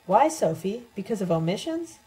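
A woman's voice: mid-range voice, very animated, moderate pace, warm tone, natural voice, poor audio. A woman voicing an embittered, scarred man in an audiobook, accusing and earnestly speechifying. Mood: bitter reproach.

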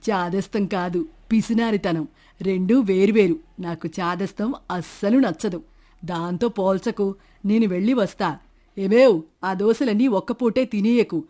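Stingy, wheedling village freeloader played by a woman, mid-pitched, self-righteous, for a storybook tale. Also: unhurried, warm tone, natural voice. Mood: smug. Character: scheming stingy middle-aged village man, shameless freeloader. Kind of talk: dramatised moral story narration.

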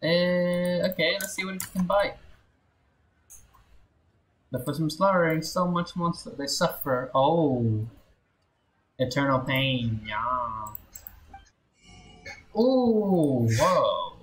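A woman's voice: low voice, animated, slow, long pauses, slight background noise. A woman game streamer giving casual playthrough commentary.

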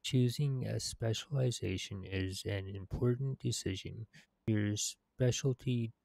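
A man in his thirties reads game text aloud with halting phrasing. His voice is low and gravelly, and he takes it very slowly. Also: dark tone, no echo. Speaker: gamer reading quest text aloud. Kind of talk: read-aloud narration of game quest text.

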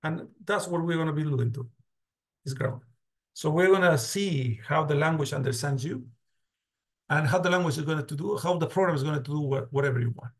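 A man's voice: on the high side, fairly quick. A man lecturing a class on a video call, restarting words and often checking "got it?". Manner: many restarts, asks "got it?" and "do you agree?" often. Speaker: university lecturer.

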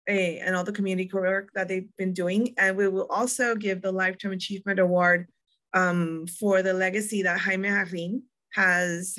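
Bright-voiced Puerto Rican woman guide. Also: medium pitch. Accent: Puerto Rican Spanish. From Puerto Rico. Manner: chatty, with frequent ums and restarts. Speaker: museum tour guide. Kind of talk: presenting a virtual museum tour.

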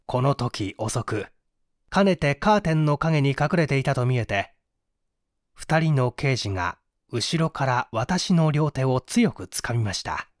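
A man reading a mystery story as dramatic narration, with measured, theatrical phrasing and deliberate pauses. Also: mid-range voice, expressive, no echo, studio quality. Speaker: audiobook reader and small-theatre actor.

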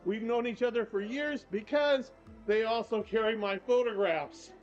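A man's voice: high-pitched, moderate pace. Chatty older man giving a walking tour. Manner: chatty, upbeat, repeats "very, very". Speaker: travel vlogger and tour guide. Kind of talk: casual walking tour narration. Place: shops and streets of a small town, indoors and out. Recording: slightly dull sound, faint music behind.

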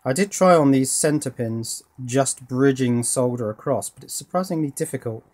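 A middle-aged man with a medium-pitched voice presents as an electronics hobbyist. He explains in an informal, hands-on way, working through his thoughts aloud as he talks. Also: expressive, normal speed, a little mellow. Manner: thinking aloud. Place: indoor workbench.

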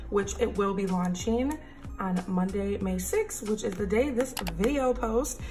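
Raspy-voiced woman chatting over a planner. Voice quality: raspy, slightly deep morning voice. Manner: chatty, enthusiastic. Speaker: planner vlogger. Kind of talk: casual haul and flip-through.

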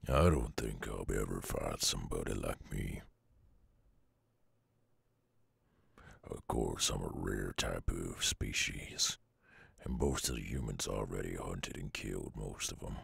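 A man voicing a brooding, weary loner werewolf with a Southern US accent and a gruff, husky drawl, in an ASMR audio roleplay. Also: a bass voice, no room sound, full character voice. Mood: resigned, wistful. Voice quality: very gravelly, husky. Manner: slow, drawling, murmured.

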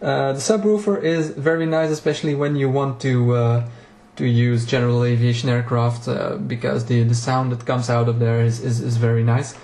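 A man's voice: medium pitch, little pitch movement, normal speed, a little mellow, echoey room. A native Dutch-speaking man, a home cockpit builder giving a casual walkthrough video, talking with frequent fillers and restarts, recorded on an action camera in a small home room. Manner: hesitant, many fillers and restarts.

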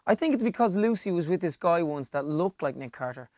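A man's voice: a little high, lively intonation, a little fast, darkish tone, no echo, clean studio sound. An Irish man in his thirties giving a dramatic reading from a book. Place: small padded studio room.

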